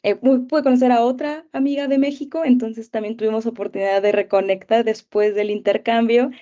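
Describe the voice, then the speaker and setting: A young Mexican woman teacher answering interview questions in a casual storytelling way, with many pauses and fillers. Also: fairly high pitch, fairly even intonation, mellow, highs cut off.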